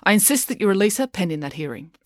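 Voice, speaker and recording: A woman's voice: medium pitch, big pitch swings, fast, darkish tone, no room sound, clean studio sound, pitched above the performer's own voice, lightly acted. A woman voicing an authoritative, formidable female lawyer in a storybook, formal and assertive. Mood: insistent, formal.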